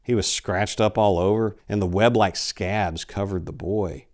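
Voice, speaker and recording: Guy in his 40s reading a creepy story out loud, kinda deep voice, very dramatic, swells up then drops to a hush. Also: fairly even intonation. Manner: theatrical, deliberate storytelling with emphatic swells and hushed drops. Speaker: author reading a short story. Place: indoor room lined with bookshelves. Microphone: lapel mic.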